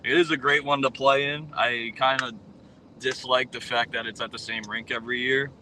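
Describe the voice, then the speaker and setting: A man's voice: medium pitch, fairly even intonation, moderate pace, sharp tone, slight background noise, rough recording. A man in his late twenties, a guest on a podcast, answering in a hesitant way with many ums and you knows. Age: 20s. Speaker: guest, pro roller hockey player. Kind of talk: casual interview chat.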